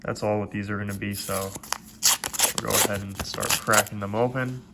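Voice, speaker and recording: A man with a stuffed-up, congested voice hosting a coin roll hunting video, giving steady, methodical play-by-play from his desk. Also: lowish voice, moderate pace, gravelly, heavy background noise. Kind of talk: casual hands-on commentary. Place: at a desk indoors.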